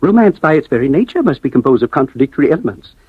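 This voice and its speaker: A man's voice: on the high side, sing-song, racing, slightly rough, no echo. An American man playing a persistent, genial salesman in a 1950s radio drama, persuasive, flowery and emphatic, on a dull vintage recording. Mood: grandiose. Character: smooth-talking, persistent male romance-service salesman, genial and grandiose.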